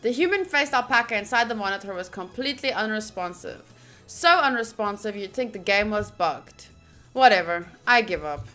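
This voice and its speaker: A woman streamer reading a visual novel's narration aloud during a playthrough, with expressive, gushing asides. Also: medium pitch, moderate pace, faint music behind. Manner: expressive, gushing asides between read passages.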